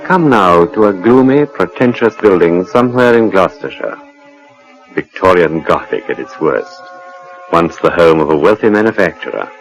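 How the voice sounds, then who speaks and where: An actor playing a sinister, urbane British storyteller, ominous and formal, in 1940s radio horror narration. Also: male voice, deep voice, expressive, normal speed, faint music behind, poor audio. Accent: British English. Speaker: storyteller and host. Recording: old 1940s radio recording.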